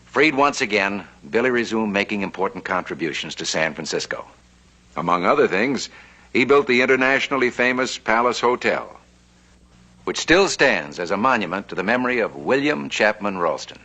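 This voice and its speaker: Lowish-voiced American TV narrator, a man. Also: expressive, normal speed, bright, forceful tone, muffled recording. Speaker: narrator and host. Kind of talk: scripted television narration.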